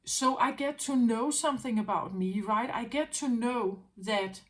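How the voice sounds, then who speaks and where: A woman's voice: a little high, measured pace. A woman talking as a tarot reader in an unscripted spiritual monologue, musing aloud, repeating her phrases and often checking in with "right?".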